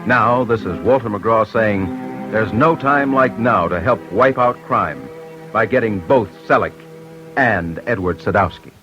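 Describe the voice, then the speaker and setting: A man's voice: lowish voice, measured pace. A man hosting an old-time radio crime program, reading a script in a grave, urgent manner with direct appeals to listeners. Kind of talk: mid-century radio scripted narration. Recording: muffled old radio broadcast with music. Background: music.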